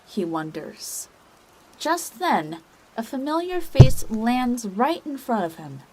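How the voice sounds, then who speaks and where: A woman's voice: a little high, sing-song, slow, darkish tone, poor audio. Woman narrating drama, faint hum. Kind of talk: scripted story narration.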